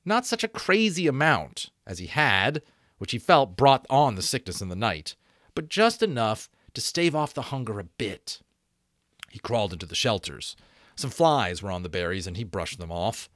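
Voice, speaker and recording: A man in his thirties reading a novel aloud as an expressive, dramatic audiobook narrator. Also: fairly low pitch, moderate pace, dry recording, clean studio sound. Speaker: audiobook reader, voice actor. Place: small home room, indoors. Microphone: close desk microphone.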